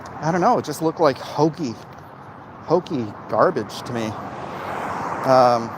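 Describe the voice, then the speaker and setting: A man chatting casually and giving opinions in a halting way, as if hosting a livestream. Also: mid-range voice, expressive, background noise. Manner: halting, frequent throat clearing. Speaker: livestream host answering viewer comments.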